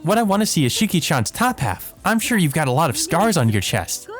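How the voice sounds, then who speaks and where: A man's voice: on the high side, big pitch swings, a little fast, faint music behind, pitched above the performer's own voice. A man voicing a flirtatious, eccentric woman doctor from a visual novel, with a gushing, sing-song teasing manner. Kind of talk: visual novel character read-aloud.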